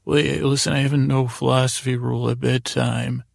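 A man playing a weary sleeper who pleads with his inner voices, halting, put-upon and easily distracted, with a gravelly voice. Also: mid-range voice, little pitch movement, no room sound, studio quality. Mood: weary, pleading.

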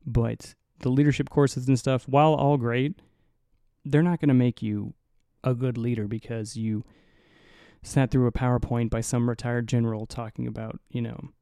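A young man hosting a solo podcast in a low, mumbly voice, rambling and swearing casually, right up on the mic. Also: steady tone, normal speed, dark tone, clear, resonant tone, dry recording, clean studio sound. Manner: rambling, profane, self-mocking. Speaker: podcast host. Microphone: close to the mic.

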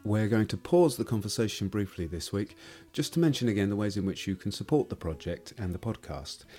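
A man's voice: fairly low pitch, animated, brisk, warm tone, soft background music. A man, a podcast host reading an announcement to listeners.

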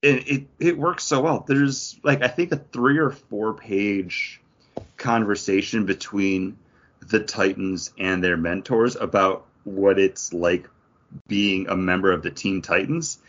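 A man's voice: fairly low pitch, slow pace, fairly bright tone, dull audio. A man co-hosting a podcast, chatting casually and enthusiastically as he reviews, sometimes slipping into imagined quotes. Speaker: podcast co-host reviewing comics.

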